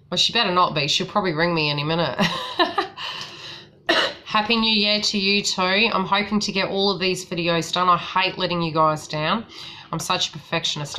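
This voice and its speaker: A woman from New Zealand with a softened New Zealand accent, chatting casually as a psychic tarot reader on a live stream. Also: on the low side. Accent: New Zealand, softened by Australian.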